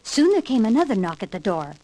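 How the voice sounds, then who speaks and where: A woman reading a scripted children's read-along story with expressive, emphatic delivery, on an old vinyl record. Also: on the high side, quick pace, low-quality recording. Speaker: storybook narrator. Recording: 1960s vinyl record with hiss and pops.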